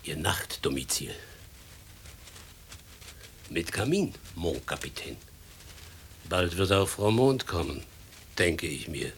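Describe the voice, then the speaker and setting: A man's voice: low voice, very animated, takes it slowly, creaky, long pauses, a little hiss or hum, full character voice. An actor playing an obliging, hardy coachman in a radio play, plain-spoken and familiar with his guest. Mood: quiet, obliging. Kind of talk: German radio play acting.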